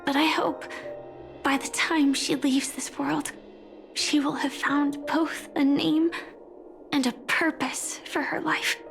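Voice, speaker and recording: Video game role: determined young fighter, high voice, sincere, over clear background music. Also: female voice, fairly even intonation, slow pace, exaggerated voice. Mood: wistful, serious. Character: earnest, determined young female warrior with a mission. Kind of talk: scripted video game voice acting.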